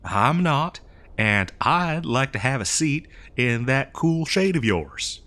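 A man's voice: medium pitch, sing-song, slow pace, sharp tone, heavily acted. Guy doing a folksy old west drifter, exasperated n rambly, voice swings up and down, comedy audio roleplay. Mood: coaxing, defensive. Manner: folksy, rambling, hesitant improvised delivery. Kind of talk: improvised comedic audio roleplay addressed to the listener.

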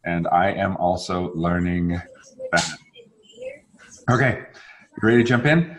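A man's voice: deep voice, takes it slowly, poor audio. A man in his fifties leading a casual bilingual language session on a video call from a home room, mixing English and Spanish and correcting himself as he goes. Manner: mixes English and Spanish, relaxed, self-correcting. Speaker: language exchange facilitator. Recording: faint noise on a video call.

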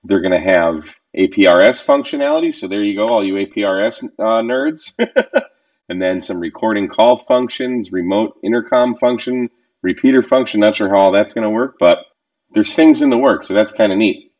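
A man's voice: on the low side, sing-song, normal speed, creaky, darkish tone, highs cut off. A man in his thirties giving a casual, chatty walkthrough of radio gear from a home office, with loose phrasing and lots of fillers. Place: home office room, indoors.

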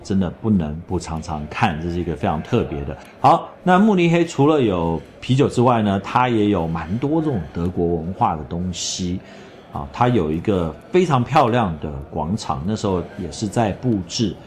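A middle-aged man, chatting in a travel vlog voice-over and telling stories in an animated way. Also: low voice, normal speed, slight background noise. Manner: chatty, animated storytelling with laughs. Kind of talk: casual travel commentary voice-over.

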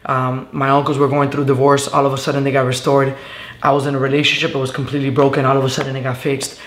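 A young man from Venezuela, a guest telling his story in a casual interview, earnest and halting with many fillers, sitting on a living room couch. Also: mid-range voice, monotone, fairly bright tone. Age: young adult. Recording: echoey room with faint hiss.

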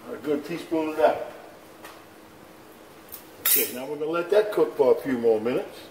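A man's voice: a little high, lively intonation, moderate pace, dark tone. A man hosting a home cooking show, talking the viewer through each step in an easygoing, folksy way at his kitchen stove. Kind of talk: casual cooking demonstration. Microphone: camera over the stove, hands in frame. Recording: echoey kitchen, faint pan noise.

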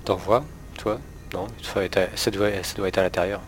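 A man with a stuffy cold, talking loudly and bluntly with his squad over game voice chat. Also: sits low, level tone, fairly quick, slight background noise. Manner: loud, blunt, swears casually. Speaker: player. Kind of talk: gaming voice chat.

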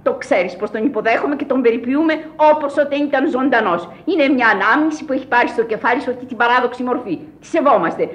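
An actress playing a grand, eccentric countess in a radio play, commanding, earnest and theatrical. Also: female voice, a little high, hardly any pauses, lightly acted. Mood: exasperated. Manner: imperious, theatrical.

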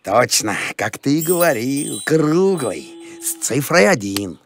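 A man voicing a simple-hearted, laid-back male dog in an animated series, talking in a folksy, colloquial way. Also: medium pitch, slow pace, creaky, exaggerated voice. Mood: pleased. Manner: colloquial, plain-spoken, folksy.

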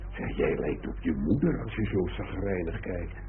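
A man's voice: low voice, lively intonation, normal speed, creaky. An actor playing a wry, joking, world-weary middle-aged father in a radio play, droll and full of muttered interjections. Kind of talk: Dutch radio play acting. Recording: tinny, hissy old radio recording.

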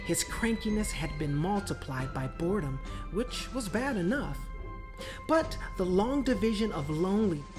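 A man reading a children's story aloud as narrator, dramatic and deliberate, drawing out key words. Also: high voice. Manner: expressive, deliberate phrasing with drawn-out words. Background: music.

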